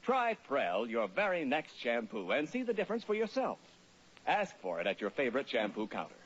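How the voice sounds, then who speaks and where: An American man reads a 1940s radio commercial. His husky voice rises and falls animatedly in a polished, emphatic sales style. The old broadcast sounds muffled. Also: medium pitch, huge pitch swings, fairly quick. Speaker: radio announcer. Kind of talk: 1940s radio advertising read.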